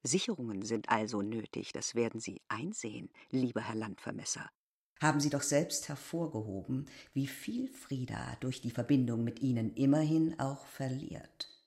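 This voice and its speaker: A woman's voice: a bass voice, moderate pace, darkish tone. Proud, domineering innkeeper woman. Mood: stern, formal. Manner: emphatic, formal, lecturing. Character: proud, domineering village innkeeper woman, weary and self-important. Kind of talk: literary radio drama acting.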